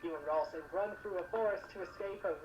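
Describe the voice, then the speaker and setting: A woman's voice: lowish voice, fast, noisy background. A woman narrating a comic dub casually, with sarcastic, joking asides. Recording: muffled lo-fi mic with music behind. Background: music.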